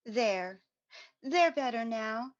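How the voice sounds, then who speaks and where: An actress playing a gentle, sorrowful mother in a radio play, in a slightly dull recording. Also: on the high side, animated, slow. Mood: subdued grief. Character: sorrowful, gentle working-class mother hiding tears.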